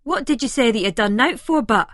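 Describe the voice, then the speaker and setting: A woman's voice: a little high, animated, brisk, a bit bright, no room sound, studio quality, voice pushed up. A woman voicing a fussy, earnest young Scottish man who is sarcastic and challenging, in an audiobook.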